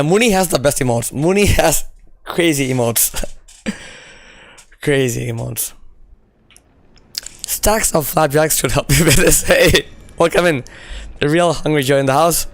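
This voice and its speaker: A man's voice: fairly high pitch, a little slow, pauses often, no room sound. A male game streamer who is losing his voice, chatting casually with viewers while playing, laughing often and using lots of "you know" fillers. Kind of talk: casual live-stream chat while gaming.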